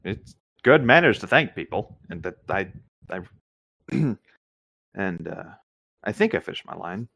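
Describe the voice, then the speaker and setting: A man's voice: fairly low pitch, big pitch swings, measured pace, creaky, pauses often, a bit bright, husky, no echo. A man co-hosting, reading a story aloud and adding casual commentary.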